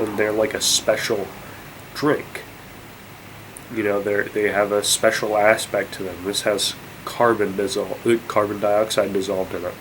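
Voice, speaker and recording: A man's voice: on the low side, a little hiss or hum, poor audio. A congested young man vlogging, rambling and incredulous, with many fillers and restarts. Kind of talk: casual rant to camera.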